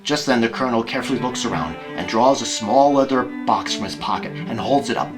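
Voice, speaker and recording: A man narrating an amateur audio drama in an informal, halting way. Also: medium pitch, music in the background. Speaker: narrator and solo performer.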